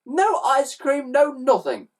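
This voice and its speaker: A woman acts out a lumpish male crook who sells ice cream in a children's story. He blusters and bellows with gloating cheek, in a raised pitch that swoops widely. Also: huge pitch swings, slow pace, distant mic, exaggerated voice. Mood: brazen.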